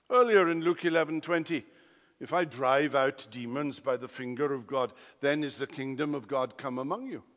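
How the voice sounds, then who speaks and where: A man with a Welsh accent, a native Welsh speaker, preaching an expository sermon, emphatic, swinging between loud declarations and quiet asides. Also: on the high side. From Wales. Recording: long hall reverb.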